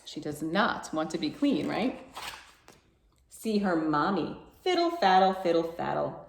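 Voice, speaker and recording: A woman reads a picture book aloud as a children's storytime host. Her pitch moves up and down a great deal, with playful emphasis and bouncy rhythm. The room sounds echoey. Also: very animated, unhurried, creaky.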